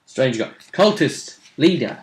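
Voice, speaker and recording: Male, game master in relaxed, conversational recap of a tabletop session. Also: medium pitch, slow pace, slight background noise. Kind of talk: casual chat recapping a tabletop role-playing session.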